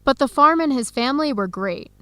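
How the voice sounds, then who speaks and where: A young woman playing an earnest researcher searching for her birth family in an educational radio drama, speaking clearly and conversationally. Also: sits high, expressive, fairly quick, pushed and bright, no room sound. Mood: candid. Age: young adult.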